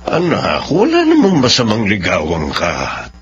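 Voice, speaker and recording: A man acts out a reasonable, fond middle-aged father in a Filipino radio drama. His melody is lively as he gently questions. The sound is muffled, with music behind it. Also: lowish voice, measured pace, exaggerated voice. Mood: probing, fond. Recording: dull lo-fi audio with background music.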